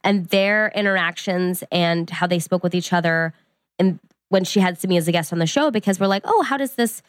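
A young woman co-hosting a casual podcast, a little congested from a cold, telling stories in a relaxed way. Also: fairly low pitch, fairly quick, dry recording. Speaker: podcast co-host. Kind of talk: casual chat.

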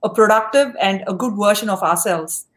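A woman's voice: mid-range voice, normal speed, a bit bright. A woman hosts a podcast interview. She speaks effusively, heaping praise on her guest. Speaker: podcast host.

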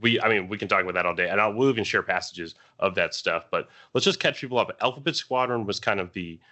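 A man co-hosting a casual podcast book discussion, speaking in a halting way that often circles back on itself. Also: on the low side, pushed and bright. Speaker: podcast co-host.

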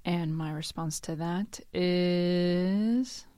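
A woman co-hosting a casual, jokey pop-culture podcast, swearing freely and breaking into quick asides. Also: lowish voice, warm tone, smooth, no room sound, studio quality. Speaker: podcast co-host. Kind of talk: casual comedic chat.

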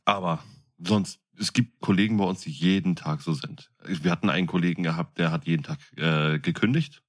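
A man's voice: low-pitched, brisk. A man co-hosting a podcast in casual, colloquial chat. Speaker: podcast co-host.

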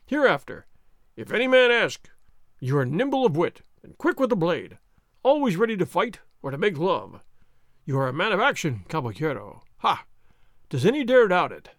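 A man playing a blustering, high-pitched bully sergeant. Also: big pitch swings, moderate pace, dry recording, clean studio sound. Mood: alarmed flattery. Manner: blustering, thundering, theatrical. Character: loud boastful bullying male army sergeant. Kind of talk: dramatized adventure storybook reading.

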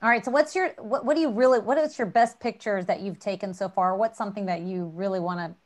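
An American woman interviews in a casual, chatty way. Her pitch is average for a woman. She phrases questions informally and mixes in asides about herself. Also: fast. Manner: informal, shares personal asides.

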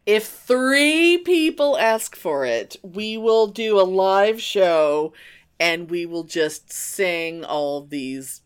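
A middle-aged woman co-hosting a podcast in casual chat, halting and repeating herself as she goes. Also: medium pitch, lively intonation, clear, resonant tone. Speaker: podcast co-host.

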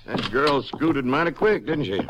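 A plain-spoken Western sheriff in 1950s radio style. His pitch moves around in a lively way with folksy phrasing. The recording is old and clearly noisy. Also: measured pace. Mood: busy, casual.

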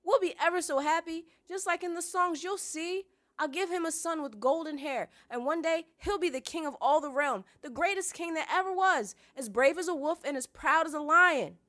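A woman's voice: fairly high pitch, lively intonation, normal speed, a bit bright, voice pushed up. A woman voicing a petulant, lovestruck young noble girl from a novel, wailing dramatically and insistently. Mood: insistent, dreamy. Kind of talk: acted audiobook-like reading from a novel.

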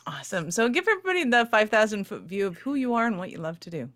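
A woman hosting a podcast, with a bright, crisp edge to her voice. She talks in a bubbly, enthusiastic way and keeps the interview chat light and lively. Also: mid-range voice, fast. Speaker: podcast host.

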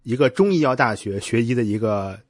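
A man's voice: mid-range voice, expressive, brisk, dry recording. Man hosting a podcast, dry close sound. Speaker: podcast host, interviewer. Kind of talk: casual conversational interviewing.

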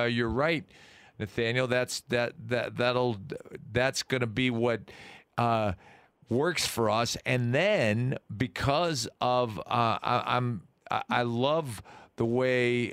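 An American man hosting a sports radio show, explaining football tactics in a casual, halting, coach-like way. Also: mid-range voice, fairly bright tone, smooth, no room sound.

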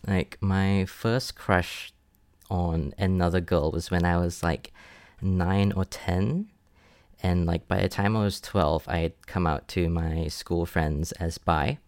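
Very low-voiced young person from Singapore. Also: male voice, takes it slowly, no room sound, studio quality. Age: 20s. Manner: relaxed, heavy use of "like", many restarts. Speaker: interview guest. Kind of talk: casual personal storytelling.

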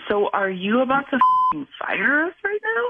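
A woman's voice: high-pitched, sing-song, normal speed, breathy edge, heavily acted. A woman as a bewildered, indignant employee on a conference call, heard over a phone line. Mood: shocked, indignant. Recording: tinny narrow phone line.

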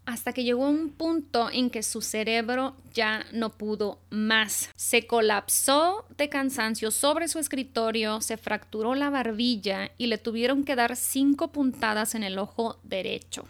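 Chatty woman host, smooth voice. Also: on the high side, measured pace, hardly any pauses. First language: Spanish. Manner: chatty, animated, with fillers and self-corrections. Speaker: podcast host. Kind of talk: casual monologue.

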